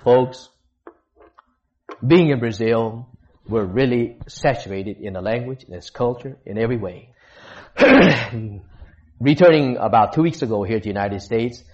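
A missionary preacher from Texas delivers a sermon in a church at an ordinary, middling pitch. He stops, restarts and hunts for words as he goes. The recording is dull and lo-fi. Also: lively intonation, a little mellow. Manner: halting, with frequent restarts and searching for words.

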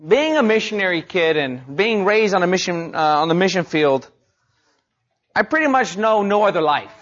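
A man's voice: sits high, very animated, moderate pace, fairly bright tone, highs cut off. A man preaching a sermon as a missionary, talking casually and rising emphatically on his key points. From Mexico.

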